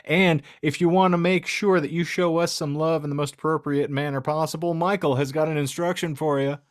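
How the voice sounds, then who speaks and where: A man's voice: fairly high pitch, steady tone, brisk, dry recording, studio quality. A man hosting a podcast in casual banter, joking with mock-grand announcer phrasing. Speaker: podcast host.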